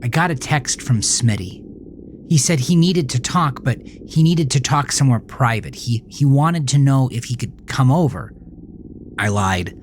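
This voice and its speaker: A man playing a haunted, remorseful young man in a dramatic first-person horror monologue, halting and often sinking to a breathy whisper. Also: mid-range voice, slight background noise, pitched above the performer's own voice.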